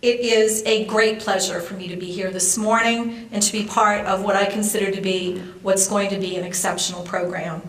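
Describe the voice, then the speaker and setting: An American woman giving a formal ceremonial introduction as a speaker, measured and courteous in manner. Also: mid-range voice, a bit bright. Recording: roomy echo, distant microphone.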